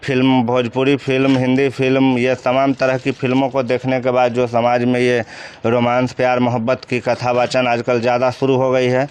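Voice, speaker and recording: A man's voice: medium pitch, completely flat delivery, normal speed, a little mellow, smooth. A man with a Bihari accent giving informal commentary in an interview, explaining colloquially and often answering his own questions. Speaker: commentator interviewed about crime cases.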